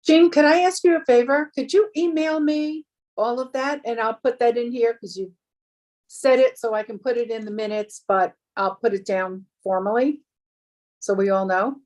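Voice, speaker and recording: A woman with a fairly high voice keeps the minutes at a board meeting. She sounds friendly and slightly flustered. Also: fairly even intonation, normal speed, a little mellow. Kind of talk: casual meeting discussion.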